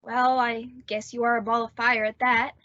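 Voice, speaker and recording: A woman's voice: a little high, flat delivery, moderate pace, pushed and bright, dry recording, dull audio. A woman playing a dramatic, lovestruck and earnest teenage girl in an old-time radio comedy. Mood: prim, uneasy.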